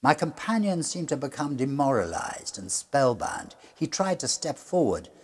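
A middle-aged man with a husky, gravelly voice narrating a gothic ghost story in a measured, suspenseful, theatrical way. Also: mid-range voice, big pitch swings, darkish tone.

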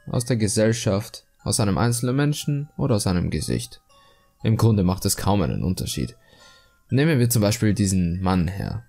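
A calmly cruel male killer obsessed with beauty, acted out by a man in a horror audio story. His delivery is husky and expressive, confiding and self-righteous, over faint background music. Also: lowish voice, full character voice. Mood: smug, calm menace. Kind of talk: German creepypasta horror monologue, dramatic reading.

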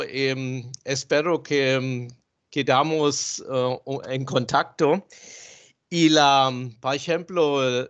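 A man speaking Spanish with a German accent, his second language, giving slow halting remarks with many pauses and filler sounds on a video call. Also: mid-range voice, a bit bright. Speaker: guest speaker. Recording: dull lo-fi call audio.

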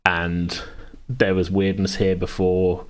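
Male podcast host, very low voice, rambling casual chat with chuckles and self-corrections. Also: little pitch movement, slow pace, smooth. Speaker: podcast host and game master.

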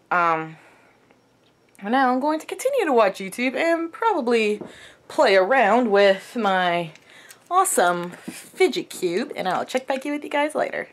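A young adult woman chatting casually to a handheld camera at arm's length in a small room at home, in a conversational, animated manner. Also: high voice. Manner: animated, conversational, acts out quotes. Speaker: vlogger.

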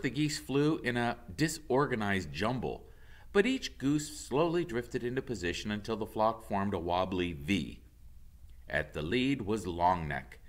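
Expressive American man reading a story. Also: fairly low pitch. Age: middle-aged. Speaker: teacher reading aloud. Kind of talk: expressive children's storybook narration. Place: classroom with whiteboard, indoors.

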